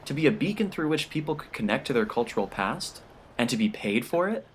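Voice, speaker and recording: A man's voice: medium pitch, big pitch swings, a little fast, a little hiss or hum. A man narrates a piece of fiction for an audiobook. His voice sits in a middle register as he reads the scripted prose.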